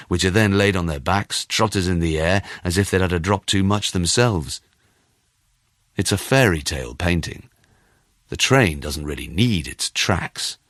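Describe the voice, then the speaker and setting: A man narrating a first-person short story in a measured, expressive storytelling manner. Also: low voice, no room sound, studio quality. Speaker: audiobook narrator.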